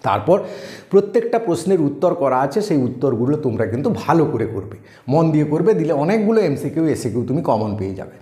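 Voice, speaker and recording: A man's voice: a little high, very animated, fast talker, dark tone. A man with an Indian Bengali accent teaching exam tips in an informal, instructive way, repeating for emphasis and mixing in English terms. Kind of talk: informal exam-preparation tutorial. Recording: echoey room.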